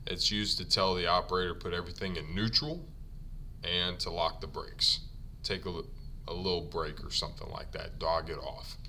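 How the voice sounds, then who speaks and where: A man who is a native English speaker teaches ironworker trade vocabulary from slides, saying each term several times slowly for learners before explaining it. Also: sits low, steady tone, bright, forceful tone, a little hiss or hum. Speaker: ironworker union instructor. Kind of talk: slide-based vocabulary lesson.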